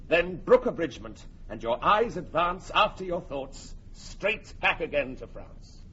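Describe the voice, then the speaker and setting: Stately, muffled storytelling chorus. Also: male voice, a little high, very animated, a little slow, a bit bright. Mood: declamatory. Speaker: narrator, chorus. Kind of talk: declaimed Shakespearean radio narration. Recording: muffled, faintly noisy old radio recording.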